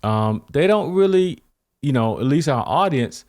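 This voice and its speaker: A man's voice: mid-range voice, animated, measured pace, darkish tone. A man hosting a podcast interview, rambling through his questions with many restarts and fillers. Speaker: podcast host. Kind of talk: casual interview.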